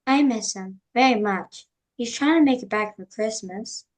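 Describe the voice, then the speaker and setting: A woman's voice: fairly high pitch, normal speed, natural voice. A woman playing a sweet, eager little girl who misses her father, in a script table read. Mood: wistful.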